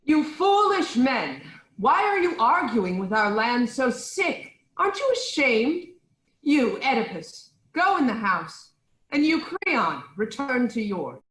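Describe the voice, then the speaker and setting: Commanding ancient Greek queen, played by an actress, high voice, slow deliberate delivery, roomy echo, stage tragedy. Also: big pitch swings, a bit bright, exaggerated voice. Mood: scolding. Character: commanding queen of Thebes, scolding yet reassuring mediator.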